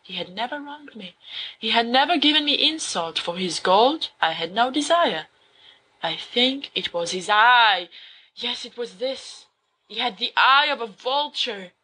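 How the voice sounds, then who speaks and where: A woman voicing a young male wizard student who reads a horror story aloud to his friends, slow and hushed at times. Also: mid-range voice, husky, heavily acted, low-quality recording. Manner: slow, hushed dramatic reading. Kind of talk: audiobook fan fiction reading.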